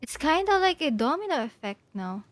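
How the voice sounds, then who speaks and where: A woman's voice: high voice, big pitch swings, fairly quick, no echo. A woman game streamer giving casual, reactive live commentary on a story game, prone to giggles.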